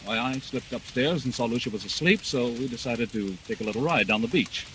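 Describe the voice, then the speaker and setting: An actor playing a bewildered, defensive husband under suspicion, halting and indignant, in an old-time radio mystery. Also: male voice, mid-range voice, background noise, natural voice. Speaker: suspect in a mystery drama.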